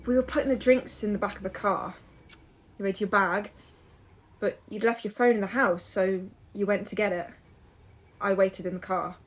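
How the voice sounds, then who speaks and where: An actress playing a frightened, guilt-ridden young woman in an audio drama, speaking in halting, broken-off phrases. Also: mid-range voice, steady tone, a little hiss or hum, close to an everyday voice, low-quality recording. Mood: subdued, remorseful. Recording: music behind.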